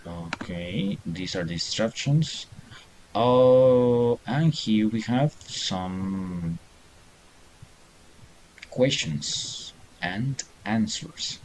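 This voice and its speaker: Slow, patient male English teacher. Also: on the low side, animated, darkish tone, slight background noise, poor audio. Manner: patient, encouraging, reads answers aloud. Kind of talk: online class instruction.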